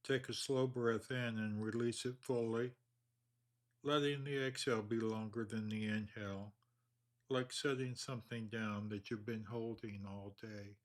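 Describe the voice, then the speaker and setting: A man leading a guided meditation, speaking slowly and calmly with long pauses. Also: lowish voice. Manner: slow, calm, measured delivery with long pauses.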